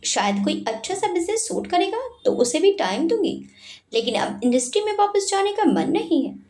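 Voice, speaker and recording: A woman voicing a quietly self-assured young woman in a storybook, speaking in a soft, confident way. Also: very high voice, sing-song, normal speed, roomy sound, pitched above the performer's own voice.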